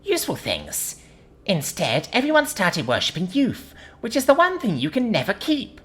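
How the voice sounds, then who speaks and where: A man voicing a wise old male rabbit teacher in a storybook reading, lecturing gently. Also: wildly swooping pitch, moderate pace, heavily acted. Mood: earnest. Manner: gently lecturing, swooping high.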